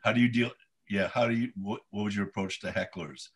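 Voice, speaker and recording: A man's voice: lowish voice, fairly quick, slightly rough. A man co-hosting an interview, asking short, slightly hesitant questions. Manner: brief questions, a little hesitant. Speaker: interview co-host.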